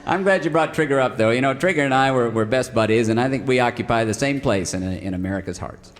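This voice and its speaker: A man's voice: medium pitch, quick pace, in a large hall, natural voice. Man voicing a vain, folksy talking horse. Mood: self-satisfied. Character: vain, easily hurt talking cowboy horse. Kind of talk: comic Western radio theater sketch. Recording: noisy live stage with audience.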